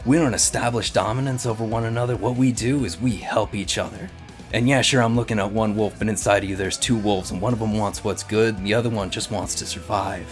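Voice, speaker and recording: Low male voice, a player in character, earnest swaggering dude, slangy bro speeches, rambling, tabletop horror comedy, light music behind. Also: steady tone, a little fast, close to an everyday voice. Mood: earnest speechifying. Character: earnest, swaggering dude hero pitching wolf-pack solidarity.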